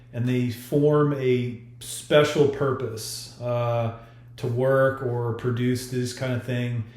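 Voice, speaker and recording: A man speaking as an author in a podcast discussion, explaining haltingly with pauses to choose his words. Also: medium pitch, a little mellow. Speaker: author discussing own story. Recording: roomy, echoey sound.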